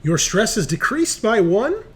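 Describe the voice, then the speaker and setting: A man's voice: sits high, big pitch swings, measured pace. A man acting as game master in an online tabletop game, switching between explaining rules and narrating the action.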